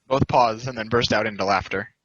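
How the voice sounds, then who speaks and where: A man's voice: on the low side, a little fast, a bit bright, no echo. A man reading stage directions as scripted narration for a table read. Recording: muffled lo-fi audio, dry.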